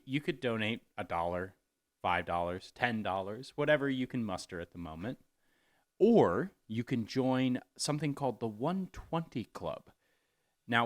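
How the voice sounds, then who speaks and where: A man hosting for a community theater, giving an informal donation appeal in a halting, jokey, folksy way. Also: medium pitch.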